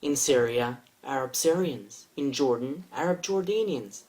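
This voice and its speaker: A man from Israel giving an impassioned statement to camera, speaking in short, emphatic phrases with deliberate pauses. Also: mid-range voice, lively intonation, darkish tone, low-quality recording. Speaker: video commentator.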